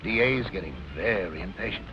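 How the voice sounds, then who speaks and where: An actor playing a probing, evasive police captain in a 1940s film noir style. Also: male voice, deep voice, lively intonation, a little fast, pushed and bright, slight background noise. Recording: old film soundtrack with music behind.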